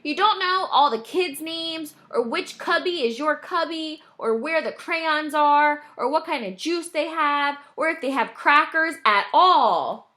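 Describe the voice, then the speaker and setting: A woman's voice: high-pitched, animated, measured pace, hardly any pauses, pushed and bright, voice pushed up, heavily acted. A woman voicing an anxious, stubborn young boy from a storybook, protesting emphatically. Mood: worried, insistent.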